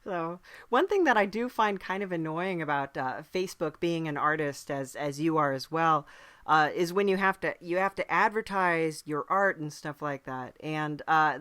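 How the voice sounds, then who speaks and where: A woman hosting a podcast in a casual comedic interview style, playful, with halting, drawn-out thoughts. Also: deep voice, a bit bright, dry recording, clean studio sound. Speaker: podcast host.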